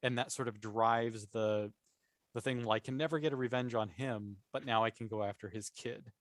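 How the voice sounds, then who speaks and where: A man's voice: fairly low pitch, fairly even intonation, moderate pace, no echo. Explanatory man in casual discussion, dry sound. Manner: thinks aloud, explanatory. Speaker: podcast panelist. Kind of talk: casual opinionated discussion of a TV series.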